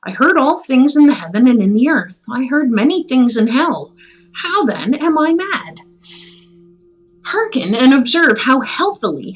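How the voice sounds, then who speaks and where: A woman's voice: a little high, a bit bright, rough recording. A woman playing a nervous, mad narrator of a gothic horror tale, intense and insistent in a dramatic storybook reading.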